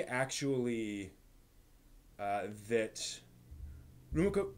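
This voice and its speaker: A man with a mid-pitched voice chats casually as a live stream host. He explains ideas analytically, working them out as he speaks. The delivery is halting, with repeated words and unscripted detours. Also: a little mellow. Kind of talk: casual rambling live stream chat about anime.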